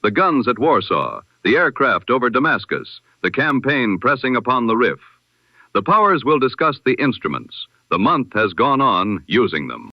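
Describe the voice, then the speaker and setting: Guy doing old timey 1920s radio news, serious and formal, pitch moves around a lot, very dry muffled audio. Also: big pitch swings, moderate pace, no room sound. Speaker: news presenter. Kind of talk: scripted news bulletin styled as 1920s radio.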